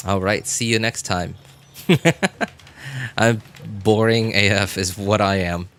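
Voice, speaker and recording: Low-voiced man chatting on a game stream. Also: steady tone, fairly bright tone. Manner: rambling, halting word searches, self-deprecating. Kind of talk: casual livestream chat while gaming.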